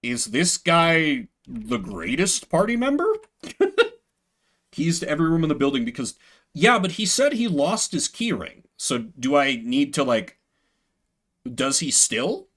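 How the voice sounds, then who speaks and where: A man doing live game commentary, excitable and casual, swearing freely and reading game text aloud. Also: fairly high pitch, a little slow. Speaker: game streamer.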